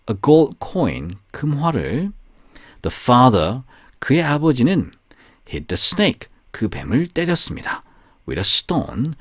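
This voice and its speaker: A man reading a storybook for language learners in short, deliberate phrases, alternating with translations. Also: medium pitch, dry recording, studio quality. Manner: slow, deliberate, pausing between short phrases. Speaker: narrator of bilingual audiobook.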